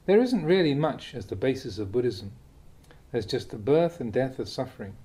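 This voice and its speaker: A man reading Buddhist teachings aloud as a scripted narration, in deliberate, short phrases. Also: medium pitch, big pitch swings, dark tone. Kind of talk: scripted audiobook reading of a Dhamma talk.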